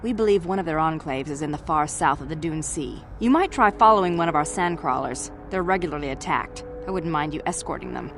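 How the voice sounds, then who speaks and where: Officious, lowish-voiced company clerk. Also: female voice, expressive, background noise. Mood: businesslike. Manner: formal, brisk, officious. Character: officious, businesslike female mining company clerk. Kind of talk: video game character acting.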